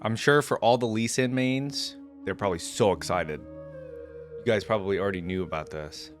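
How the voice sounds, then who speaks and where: A man's voice: on the low side, normal speed, soft background music. A man hosting a reaction video with casual commentary, speaking informally and enthusiastically with frequent asides. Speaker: YouTube reaction host.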